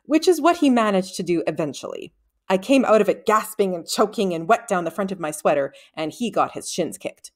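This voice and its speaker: A woman reading a storybook aloud in expressive narration. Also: medium pitch, a little fast. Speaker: audiobook reader.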